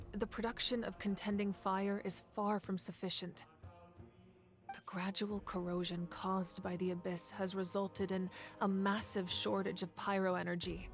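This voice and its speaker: An actress voicing a proud, protective female ruler in a video game, speaking calmly, steadily and with resolve. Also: mid-range voice, natural voice.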